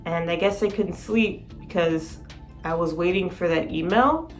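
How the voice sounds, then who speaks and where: A sleep-deprived woman with a raspy voice, chatting excitedly in a casual vlog. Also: fairly low pitch, unhurried, faint music behind. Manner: excited, rambling, laughs often. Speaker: vlogger.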